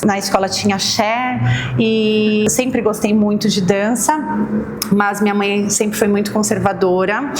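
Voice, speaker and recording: Late-thirties woman from São Paulo, animated interviewee, noisy backdrop. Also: mid-range voice. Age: 30s. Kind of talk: documentary interview. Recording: clear background noise.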